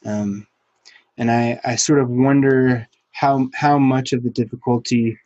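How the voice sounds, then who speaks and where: A man's voice: medium pitch, steady tone, unhurried, a little mellow, dry recording, lo-fi sound. A man interviewing a guest, asking reflective, musing questions. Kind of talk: conversational interview.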